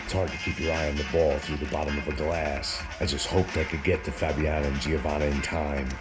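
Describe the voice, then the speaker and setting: Casting: bitter, boozy bodyguard for a hard-boiled game, deep gravelly register, dry cynical delivery, music pounding underneath. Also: male voice, a little fast. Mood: bitter, deadpan. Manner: deadpan, sardonic. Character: world-weary hard-drinking middle-aged male bodyguard, bitterly cynical. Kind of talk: hard-boiled noir game narration and dialogue. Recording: loud music behind the voice. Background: loud music.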